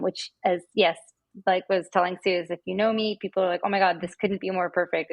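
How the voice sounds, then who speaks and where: A woman's voice: lowish voice, dry recording. A woman speaking as a podcast guest, explaining things casually with gushing enthusiasm. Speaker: podcast guest, wellness app representative.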